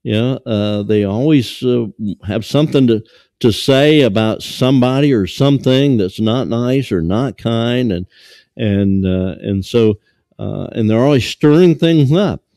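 Older guy preaching in a small church, dark mellow voice, keeps restarting with uh and um, dry close sound. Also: mid-range voice, dry recording. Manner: halting, many fillers and restarts. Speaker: pastor. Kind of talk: sermon. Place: small church sanctuary, seated at a lectern.

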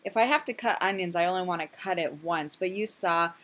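A woman's voice: on the low side, brisk, fairly bright tone. A woman casually talking through her home cooking in a chatty, self-deprecating, rambling way, full of fillers. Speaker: vlogger.

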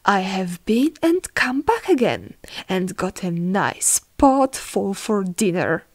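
A woman's voice: mid-range voice, sing-song, slow pace, no echo, heavily acted. A woman voicing a plucky little pig from a fairy tale, emphatic and singsong, both pleading and defiant. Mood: eager. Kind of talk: storybook fairy tale character voice.

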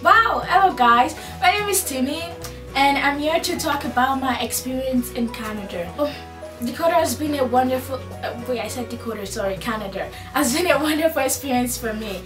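A chatty teenage girl with a high voice talks in a loose, informal way. She is recorded from a distance in a reverberant school office. Music runs behind her. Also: lively intonation, brisk. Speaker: student. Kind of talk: interview testimony. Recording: echoey room from far off. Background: background music.

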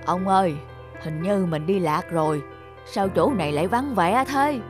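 An actress playing a kind, worried elderly grandmother in a cartoon, speaking gently and plaintively. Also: lowish voice, normal speed, close to an everyday voice. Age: older. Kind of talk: animated moral tale voice acting. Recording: music playing behind the voice.

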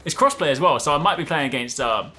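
Animated male gamer over game music. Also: fairly high pitch, quick pace. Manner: reactive, exclamatory, chatty. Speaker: gaming video creator. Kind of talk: excited live gameplay commentary. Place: indoor room at a desk.

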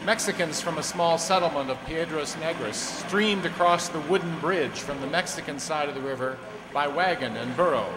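A solemn storyteller narrates an old-time radio western, performed by a man. His dramatic, scripted delivery sits a little high in pitch. The voice carries hall reverb. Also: normal speed, background noise, natural voice. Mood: storytelling. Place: stage in a community hall. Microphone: stand microphone. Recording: echoey hall stage.

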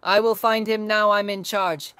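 A woman's voice: medium pitch, fairly even intonation, normal speed, natural voice. A woman voicing a sarcastic, scolding sibling creature in a kids' cartoon. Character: know-it-all sibling number creature, bickering. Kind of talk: cartoon voice acting.

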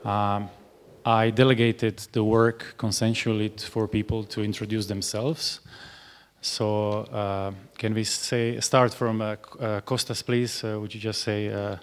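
A man's voice: lowish voice, flat delivery, measured pace, clear, resonant tone. A man in his forties chairing a panel, speaking hesitantly with many fillers and restarts at a table mic. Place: large hall. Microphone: table mic on stand. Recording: reverberant hall sound.

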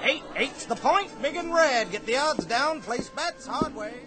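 A man's voice: very high voice, animated, unhurried, a crowd in the background. An actor playing a male craps dealer chanting table calls in a radio drama. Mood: brisk. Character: sing-song casino craps dealer calling bets. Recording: radio play with music underneath.

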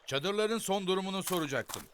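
Stern, high-pitched suspicious man. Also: animated, a little fast, a bit bright, slight background noise. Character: stern, suspicious elder brother figure giving orders. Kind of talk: animated children's series dubbing.

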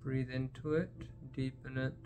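A man leading a guided meditation in a gentle, unhurried manner, leaving long pauses between phrases. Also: mid-range voice, little pitch movement, slight background noise.

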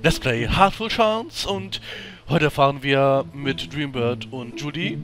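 A man's voice: mid-range voice, measured pace, a bit bright. A man hosting a let's play with casual commentary.

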